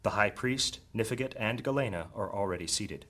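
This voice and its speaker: A man's voice: lowish voice, expressive, moderate pace, sharp tone. A man narrating a scripted fantasy story in the present tense.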